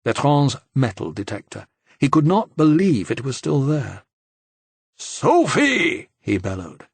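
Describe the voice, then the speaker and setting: A man's voice: medium pitch, lively intonation, moderate pace, vocal fry, darkish tone, husky, no echo. A man narrating fiction from a script as an audiobook reader.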